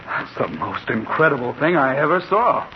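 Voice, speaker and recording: An actor playing an amazed, polite visiting doctor in a 1940s radio drama, with a hesitant, wondering manner. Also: male voice, medium pitch, very animated, normal speed, lightly acted. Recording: muffled, noisy 1940s radio recording.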